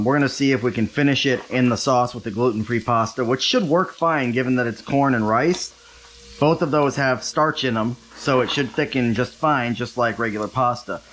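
A man's voice: mid-range voice, a bit bright, slight background noise. Wry, chatty man cooking at home. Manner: wry, self-deprecating, chatty asides. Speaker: cooking show host. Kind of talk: casual cooking demonstration. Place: home kitchen, stovetop.

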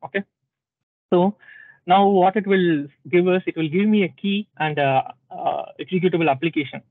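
A man presenting a live software tutorial at a tech community session, explaining step by step with many "uh"s and "okay"s and checking in with "right?". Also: high voice, moderate pace, no room sound. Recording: dry, thin phone-like sound.

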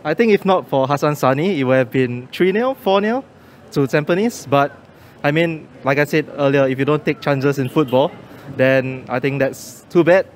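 A man's voice: a little high, normal speed. A young man with a Singaporean accent, a football fan giving casual post-match opinions into a handheld phone outdoors. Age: young adult. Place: outdoor stadium entrance. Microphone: handheld phone held toward him. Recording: noisy outdoor phone recording.

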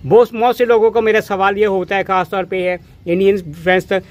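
Middle-aged male gardening host, high-set voice, rambling stop-start delivery, outdoor phone recording. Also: little pitch movement, a little fast. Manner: explains step by step, pointing at pots and bulbs; repeats phrases, many restarts and filler words. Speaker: home gardening channel host. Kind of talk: hands-on gardening demonstration. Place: rooftop garden among potted flowers, outdoors. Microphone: camera phone held close, narrator off screen.

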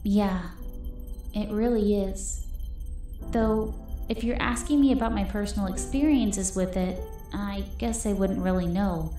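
A woman voicing a gentle, hopeful young girl in an animated comic dub, earnest, soft-spoken and wistful. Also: medium pitch, a little slow, natural voice. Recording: echoey voice under background music.

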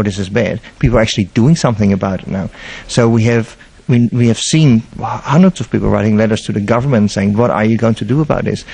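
A man's voice: medium pitch, creaky, darkish tone, poor audio. A middle-aged man who directs an animal welfare campaign answers interview questions earnestly, indoors, into a handheld mic held by the interviewer, often repeating words as he thinks.

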